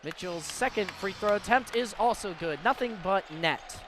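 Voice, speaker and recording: A man's voice: high-pitched, animated, normal speed, fairly bright tone. An American man doing live radio play-by-play commentary of a basketball game. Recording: live broadcast with clear background noise. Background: basketball game ambience, bouncing ball.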